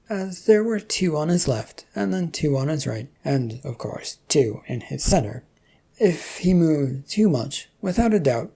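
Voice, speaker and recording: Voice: mid-range voice, vocal fry, dark tone, husky. A storyteller reading scripted fiction narration.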